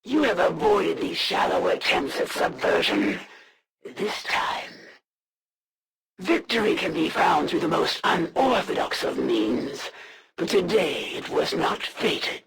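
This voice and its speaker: A haughty, honor-bound alien assassin from a video game. The delivery is formal and menacing, with wildly swooping pitch over a heavy, crackling rasp. Each phrase is drawn out slowly and solemnly. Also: a bit bright, full character voice. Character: proud, honor-bound alien assassin serving dark masters. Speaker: villain voice in a strategy video game. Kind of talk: dramatic scripted game voice acting.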